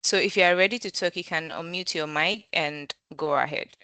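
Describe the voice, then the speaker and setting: A woman facilitating an online workshop over a video call, measured and full of um fillers. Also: lowish voice, sharp tone, clean tone, no echo.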